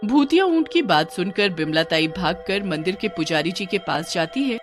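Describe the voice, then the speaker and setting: A woman's voice: lowish voice, animated, moderate pace, faint music behind. A woman giving scripted storytelling narration as the narrator of a tale.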